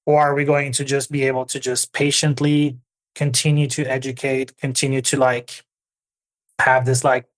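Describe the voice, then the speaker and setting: A man's voice: medium pitch, flat delivery, moderate pace. A man in his forties, from Argentina and raised in Norway, speaking as an interview guest in a thoughtful, hesitant way with many restarts. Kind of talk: conversational interview.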